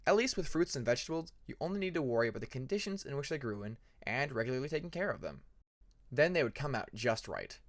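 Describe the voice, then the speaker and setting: A man narrating a fantasy story like an audiobook, in an expressive, dramatic manner. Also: mid-range voice, quick pace. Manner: expressive, acts out dramatic passages. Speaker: fan fiction narrator.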